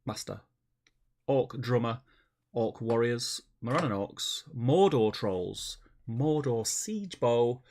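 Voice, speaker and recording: A man with a stuffy head cold co-hosts a live stream in a relaxed, chatty way. His voice has a gravelly edge at mid pitch. He welcomes viewers with upbeat warmth. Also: slow pace, a little hiss or hum. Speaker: co-host. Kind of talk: casual stream chat.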